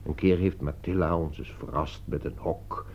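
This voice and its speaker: A man acting an articulate, insistent gentleman who persuades and commands as he defends a friend in a Dutch radio play. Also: very deep voice, steady tone, moderate pace, a little hiss or hum. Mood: measured explaining. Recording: dull, lo-fi radio play recording.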